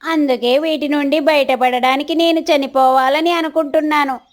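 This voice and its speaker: Woman acting cartoon crow husband, high voice, whiny, scheming, melodramatic, children's story. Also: steady tone, normal speed, natural voice. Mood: despairing. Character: lazy, self-pitying, scheming crow husband, dramatic.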